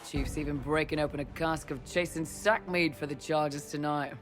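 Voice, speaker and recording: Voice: medium pitch, steady tone, normal speed, faint music behind, natural voice. An actor playing a cheerful, loyal young male mercenary lieutenant in a fantasy game, easygoing and respectful. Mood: cheerful, cheeky. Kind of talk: cinematic fantasy game dialogue.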